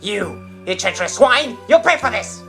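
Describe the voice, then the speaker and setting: A woman's voice: medium pitch, big pitch swings, moderate pace, vocal fry, sharp tone, faint music behind, full character voice. A woman voicing a hot-tempered, resentful male weasel crook who stammers indignantly in an amateur audio drama. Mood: bitter anger.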